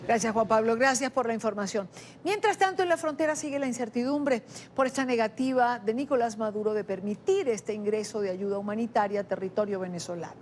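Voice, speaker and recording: Woman anchoring the news, a little high. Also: a little mellow, slight background noise. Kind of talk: scripted news presenting.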